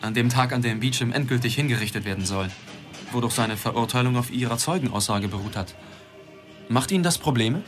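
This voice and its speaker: An actor in a German radio drama playing a sceptical male reporter, measured and wry in dialogue and narration. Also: medium pitch, close to an everyday voice. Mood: probing. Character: probing, sceptical male reporter telling his own story. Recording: music behind the voice.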